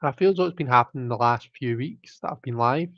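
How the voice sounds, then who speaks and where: A man's voice: medium pitch, fast, no echo, dull audio. A man hosting a live stream, chatting casually and reading chat, rambling with many fillers and restarts while his throat keeps catching. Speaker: livestream host reading chat.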